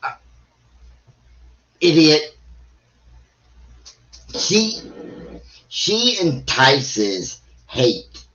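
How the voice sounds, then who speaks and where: A man's voice: on the high side, sing-song, very slow, pauses often, sharp tone, highs cut off. A man giving blunt, scornful livestream commentary, swearing freely as he reacts. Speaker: live stream commentator.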